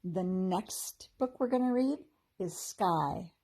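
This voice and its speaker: A woman teacher reading a children's chapter book aloud in a gentle storytelling manner. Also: medium pitch, lively intonation, slow.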